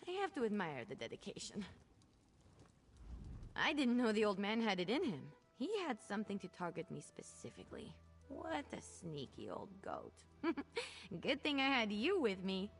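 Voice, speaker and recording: Video game companion: eager young female mage, cheeky, animated delivery, music underneath. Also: medium pitch, normal speed. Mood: amused, cheeky. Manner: playful, expressive, chatty. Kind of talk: video game dialogue acting.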